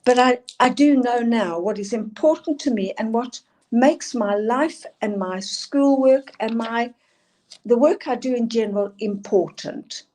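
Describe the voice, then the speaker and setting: South African woman, older, mellow-voiced speaker. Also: fairly high pitch, a little slow. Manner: earnest, emphatic, asks for next slides. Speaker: school leadership speaker. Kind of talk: presenting slides in a talk.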